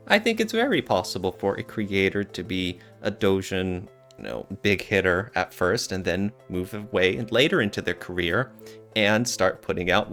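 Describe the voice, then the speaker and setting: Low-voiced man co-hosting a podcast. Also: fairly bright tone. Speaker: podcast co-host. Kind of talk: casual podcast discussion.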